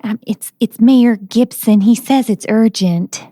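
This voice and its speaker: A woman's voice: fairly high pitch, a little slow, warm tone, dry recording, studio quality, close to an everyday voice. A woman playing a meek, hesitant office assistant in an audio drama.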